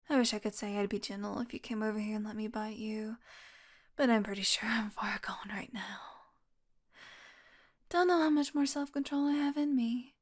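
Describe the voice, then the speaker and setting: A woman playing a wounded, exhausted young vampire in an intimate audio roleplay, rambling wearily with sardonic, pained asides. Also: fairly high pitch. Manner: weary, rambling, sardonic, with sighs and breathy asides. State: exhausted, in pain. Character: wounded, exhausted young female vampire, wry and foul-mouthed.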